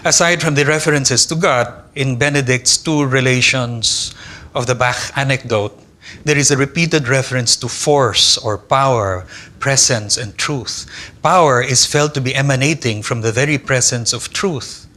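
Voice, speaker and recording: Guy reading his conference talk, bright clear voice, slows down on the big words. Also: medium pitch. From Philippines. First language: Tagalog. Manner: measured and deliberate, slowing on key terms. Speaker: lecturer. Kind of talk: academic conference lecture, read from a text. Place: conference hall.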